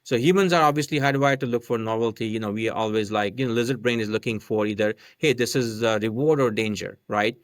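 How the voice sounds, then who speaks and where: A man's voice: lowish voice, fast, few pauses, no room sound. A man whose first language is not English, a podcast guest explaining a marketing method step by step and often checking in with "right?". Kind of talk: casual explanatory chat.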